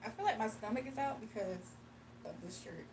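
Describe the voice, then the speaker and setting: A young woman with a fairly high voice chats loosely with her live viewers and laughs easily. She sits a little away from the mic, and faint room noise runs under her voice. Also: fairly quick, recorded from a distance. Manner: chatty, laughs often, reads chat aloud. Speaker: livestream tarot reader. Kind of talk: casual live chat and tarot reading.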